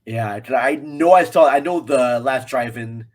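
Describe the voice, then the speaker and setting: A man with a mid-range voice talks casually as a guest reviewer on a film show. His delivery is halting, as if he is working out each opinion while he speaks. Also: medium pitch.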